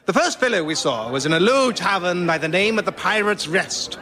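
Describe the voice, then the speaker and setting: Pompous, high-voiced gentleman adventurer. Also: expressive, a little fast. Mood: blithe storytelling. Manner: florid, old-fashioned, pompous. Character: pompous, cheerfully oblivious gentleman adventurer telling his tale. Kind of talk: comic storytelling, radio sketch.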